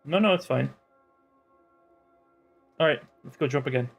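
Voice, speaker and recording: A man chatting casually as he streams a game, in a low-key, hesitant and considerate way. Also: unhurried, vocal fry, breathy edge, faint music behind. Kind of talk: casual live game playthrough chat.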